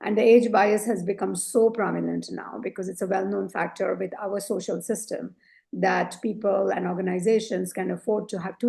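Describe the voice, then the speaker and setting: A woman leadership coach speaking as a podcast guest, with a low voice, reflective and earnest, often adding "you know" and "right?". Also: gravelly, dark tone. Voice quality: low, deep voice. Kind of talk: conversational interview.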